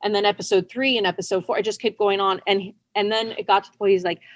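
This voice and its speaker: A woman's voice: medium pitch, fairly even intonation, fast, no echo, muffled recording. Female interview guest, writer. Casual, chatty, self-effacing delivery, very little room sound. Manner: chatty, self-deprecating, often laughing lightly as she talks.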